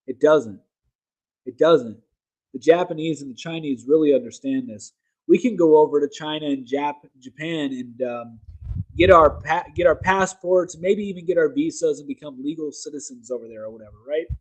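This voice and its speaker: Male livestream host, slightly high voice, forceful rambling delivery with frequent restarts. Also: moderate pace, low-quality recording. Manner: emphatic, rambling, self-correcting; reads articles aloud to viewers. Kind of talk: casual opinion livestream.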